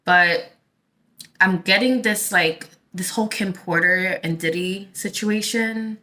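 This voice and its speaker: A woman from the Dominican Republic talking casually to her viewers as a tarot channel host, in a halting, rambling way as she thinks aloud. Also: fairly low pitch, pushed and bright. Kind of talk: casual vlog talk to viewers.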